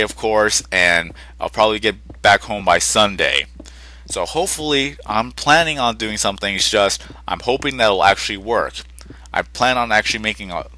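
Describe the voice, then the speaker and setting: Young male gaming host, low-pitched and punchy, a touch congested, rambling update. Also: sharp tone. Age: young adult. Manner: rambling, self-correcting, conversational. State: slightly sick and congested, short on sleep. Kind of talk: casual rambling channel update.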